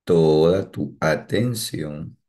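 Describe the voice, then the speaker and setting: Male, meditation guide, deep voice, extremely slow delivery, calm short phrases, long pauses.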